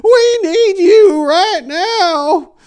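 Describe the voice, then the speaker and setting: A man's voice: very high-pitched, expressive, drawn-out words, a little mellow, pitched above the performer's own voice, full character voice. A man voicing a gullible, insistent old lady in a screechy, panicked voice for a short story reading. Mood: shrill panic.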